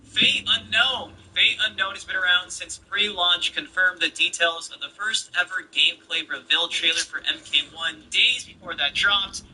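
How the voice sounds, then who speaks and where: A man's voice: high voice, normal speed, hardly any pauses, pushed and bright, a little hiss or hum, low-quality recording. A man giving casual video game news commentary as a YouTuber, informal and slangy, speaking straight to his viewers.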